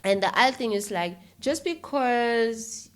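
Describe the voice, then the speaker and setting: A woman's voice: on the high side, animated, measured pace. A woman with a South African accent talking casually and opinionatedly as a podcast guest, emphatic and often checking that the listener follows. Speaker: podcast guest, filmmaker and YouTuber. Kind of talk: casual, opinionated interview talk.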